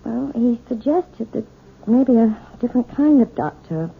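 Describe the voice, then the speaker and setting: An actress playing a timid, nervous wife who hesitates and stammers, in an old radio drama. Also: female voice, on the high side, animated, a little slow, gravelly, husky, heavily acted. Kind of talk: 1970s radio drama acting. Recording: lo-fi archival radio broadcast.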